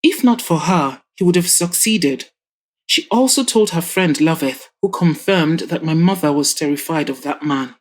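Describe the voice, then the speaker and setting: A woman voicing a patient grown daughter who reasons calmly and firmly with her father. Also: sits low, moderate pace. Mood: coaxing. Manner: calm, coaxing, reasoning. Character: grown daughter, patient and firm, fashion designer.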